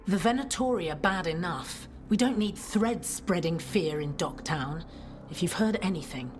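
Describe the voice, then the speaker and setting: A woman playing a brisk, duty-minded templar investigator in a fantasy game, curt and matter-of-fact. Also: lowish voice, lively intonation, close to an everyday voice. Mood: curt, concerned. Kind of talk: cinematic fantasy game dialogue. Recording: music behind the voice.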